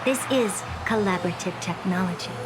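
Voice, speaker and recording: A woman's voice: mid-range voice, a little fast, lightly acted. A woman voice-acting a haughty, brilliant young female scholar in a video game, giving orders with imperious, breezy condescension. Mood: smug. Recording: loud music behind the voice. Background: loud game music.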